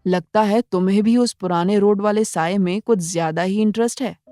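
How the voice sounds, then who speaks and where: A woman plays a mysterious young woman in a horror audio series. The voice is mellow and medium in pitch, sly and knowing, over faint music. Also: moderate pace, close to an everyday voice. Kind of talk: Hindi audio horror drama acting.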